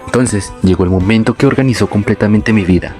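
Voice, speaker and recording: A young man reading a scripted, reflective personal narration in a measured, literary way. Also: lowish voice, a little mellow, faint music behind. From born in Venezuela, moved to Colombia. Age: young adult. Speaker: narrator of his own life story.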